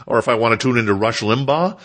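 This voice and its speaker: A man's voice: medium pitch, fast talker, a bit bright. A stuffed-up man, rambling casually and self-deprecatingly as he hosts a solo podcast. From Minnesota, United States. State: stuffed up, congested. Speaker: podcast host.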